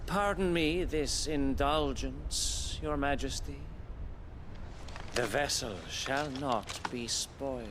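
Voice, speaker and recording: A man's voice: medium pitch, takes it slowly, background noise, natural voice. A man playing a haughty noble villain in a video game, with a mock-courteous, theatrical delivery that savors each phrase. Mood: mocking courtesy. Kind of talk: dramatic video game acting. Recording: loud game music behind.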